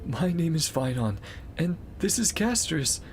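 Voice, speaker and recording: A courteous, eager young male student played by a man in game dialogue. The voice is pitched up and lively, with some background noise. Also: a little slow. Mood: polite, eager.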